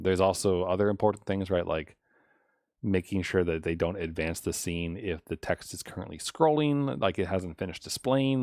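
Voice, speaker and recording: A man's voice: deep voice, a little mellow, dry recording, clean studio sound. A man streaming live as he codes, talking casually and unscripted, rambling as he thinks aloud. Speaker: live streamer coding a game.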